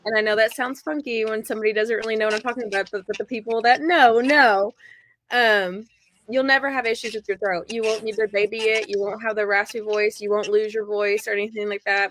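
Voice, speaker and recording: A woman's voice: mid-range voice, a little hiss or hum, rough recording. A woman from Oklahoma in her thirties, a wrestling ring announcer, chatting in a podcast interview and telling stories with frequent laughs. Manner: chatty, laughs often, animated storytelling.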